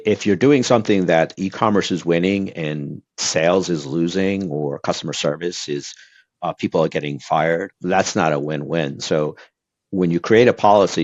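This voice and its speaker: A man's voice: sits low, darkish tone, no echo, lo-fi sound. A man from Brazil whose first language is Portuguese, giving earnest advice as an interview guest with lots of fillers and restarts. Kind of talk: casual interview talk.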